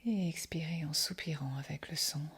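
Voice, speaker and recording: A woman guiding a yoga nidra meditation in a breathy, whispery, husky voice, speaking softly and soothingly with long pauses. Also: deep voice. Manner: soft, soothing, unhurried instruction with long pauses. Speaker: meditation guide.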